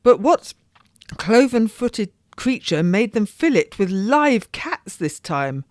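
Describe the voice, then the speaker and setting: A woman voicing an agitated genteel noblewoman who gossips and exclaims in high, theatrical bursts. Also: sing-song, slow pace, a little mellow, dry recording, clean studio sound. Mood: horrified. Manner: rising, theatrical, emphatic outbursts.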